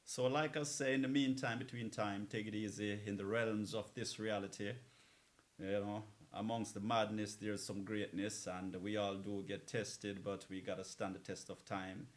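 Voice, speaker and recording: A man's voice: lowish voice, little pitch movement, moderate pace. A man hosting a music show as a DJ, talking in a laid-back, philosophical and encouraging conversational way between songs. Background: music playing under the voice.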